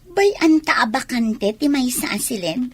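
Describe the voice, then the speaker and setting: A woman's voice: high-pitched, expressive, normal speed, breathy edge, no echo. A woman with an Ilocano accent playing a coy young student in a radio drama. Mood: worried, questioning.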